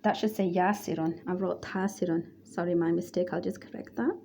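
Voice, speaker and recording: A woman's voice: on the low side, steady tone, a little fast, creaky, warm tone, slight background noise. A woman teaching Arabic grammar, explaining patiently and repeating word lists.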